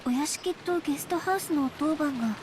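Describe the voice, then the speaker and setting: An actress playing a gentle young maid in a visual novel, speaking very politely and deferentially, eager to serve. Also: female voice, high-pitched, racing, close to an everyday voice. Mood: puzzled. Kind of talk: anime visual novel voice acting. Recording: clear rain noise over the voice.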